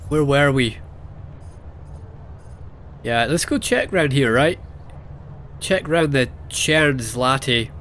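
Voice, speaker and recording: A man's voice: medium pitch, animated, slow pace, long pauses, a bit bright, slight background noise. A young Scottish man with a Scottish accent, commenting casually and sarcastically on a video game he is playing. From Scotland. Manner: sarcastic, jokey asides and mock voices. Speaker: let's play host.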